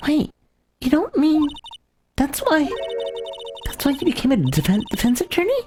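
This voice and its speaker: A woman voicing an excitable, caring young female legal assistant in a video game, emotive and slightly stumbling. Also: high-pitched, normal speed. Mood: moved, urgent. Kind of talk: reading visual novel game dialogue aloud. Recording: faint music behind the voice.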